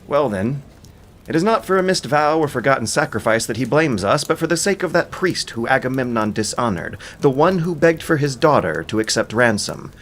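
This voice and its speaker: A man's voice: mid-range voice, expressive, fairly quick, a bit bright, slight background noise, pitched above the performer's own voice, lightly acted. A man voicing a wise, wary seer in an epic audio drama, speaking in solemn, anxious declamation. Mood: solemn, bolder. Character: wary, wise seer fearing a powerful king.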